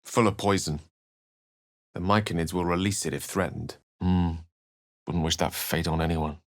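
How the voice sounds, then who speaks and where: An actor voicing an erudite, thoughtful, wry wizard adventurer in a video game, with a measured, theatrical way of speaking. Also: male voice, low-pitched, steady tone, no room sound, clean studio sound, close to an everyday voice. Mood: musing. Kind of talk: video game voice acting, dialogue and narration.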